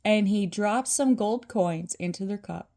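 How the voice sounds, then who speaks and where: An older woman narrates a children's storybook like a grandmother reading aloud. Her pace is slow and deliberate, and her voice sits at an average pitch for a woman. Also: warm tone.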